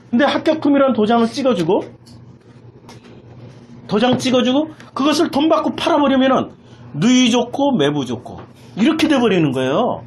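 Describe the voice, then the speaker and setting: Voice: mid-range voice, a little hiss or hum. A pastor preaching an impassioned sermon, emphatically repeating phrases and putting rhetorical questions in a raised voice.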